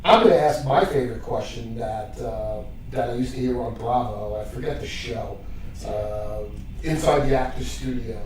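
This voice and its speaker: A man's voice: medium pitch, moderate pace, darkish tone. A man co-hosting a podcast, telling laughing, rambling stories in casual chat. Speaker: podcast co-host. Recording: echoey room from far off, noisy.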